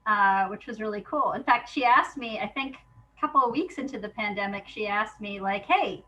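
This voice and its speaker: A woman speaking as an interview guest, a playwright chatting casually about her work and telling it in an animated way, acting out remembered exchanges. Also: medium pitch, normal speed, highs cut off.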